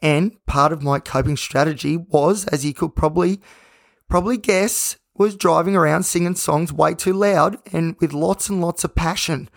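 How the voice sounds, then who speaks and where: A hoarse man hosting his own podcast, talking in a rambling, candid and self-reflective way. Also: on the high side, moderate pace, dry recording, clean studio sound. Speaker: podcast host. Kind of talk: casual solo podcast monologue.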